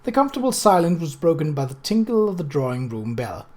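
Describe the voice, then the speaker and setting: Audiobook narrator, mid-range voice, measured storytelling read. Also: mid-range voice, sing-song, a little mellow.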